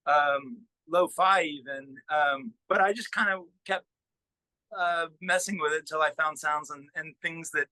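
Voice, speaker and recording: A man being interviewed as a musician, chatting casually in a halting way as he thinks aloud. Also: on the high side, pushed and bright, no echo, rough recording. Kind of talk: casual interview chat about own work.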